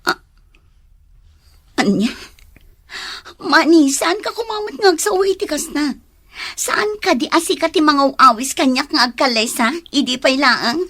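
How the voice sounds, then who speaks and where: A woman's voice: sits high, animated, a little slow, pauses often, bright, forceful tone, full character voice. A woman with an Ilocano Filipino accent acting a hurt, confrontational fiancée in a radio drama. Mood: hurt, pleading.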